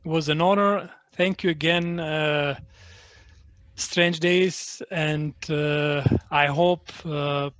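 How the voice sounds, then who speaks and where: A man from Albania whose first language is Albanian, speaking as a book translator in an online talk, halting with many fillers and restarts. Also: on the high side, fairly even intonation, a bit bright, dry recording.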